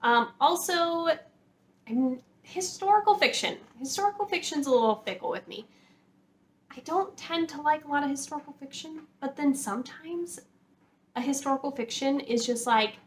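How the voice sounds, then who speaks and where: A woman with a fairly high voice chats casually to her viewers in an enthusiastic, gushing manner, peppering her sentences with "like." She sounds like an informal book vlogger, recorded with noticeable background noise. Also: animated, moderate pace. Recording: clear background noise, room ambience.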